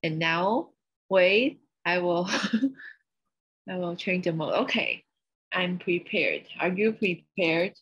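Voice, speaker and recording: A woman's voice: fairly low pitch, expressive, takes it slowly, long pauses, fairly bright tone, slightly rough, lo-fi sound. A young woman in her twenties, giggly and loose, making casual announcements as the presider of a club meeting over a video call. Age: 20s.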